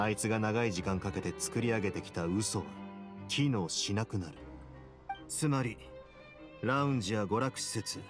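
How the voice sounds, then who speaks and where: An actor playing a composed, shrewd duke in a game, speaking in a cool, measured, authoritative way. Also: male voice, medium pitch, close to an everyday voice. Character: composed, shrewd male duke in command of a fortress. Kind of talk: Japanese game voice acting.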